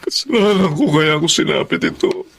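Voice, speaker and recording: A man's voice: a little high, fairly quick, creaky, fairly bright tone, a little hiss or hum. An actor playing an anguished, tearful young man full of regret in a radio drama. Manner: emotional, tearful delivery. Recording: dramatic music behind the voice.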